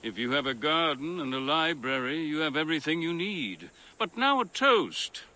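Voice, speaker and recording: An actor playing an effusive Roman architect and scholar, speaking in a grandiloquent, theatrical way for a video game. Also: male voice, on the high side, very animated, moderate pace, pushed and bright, slight background noise. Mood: lofty, jovial. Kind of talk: video game cutscene acting.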